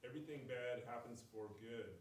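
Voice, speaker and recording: A man in the audience quietly quoting a saying, heard from far off the mic. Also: mid-range voice, brisk. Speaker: audience member.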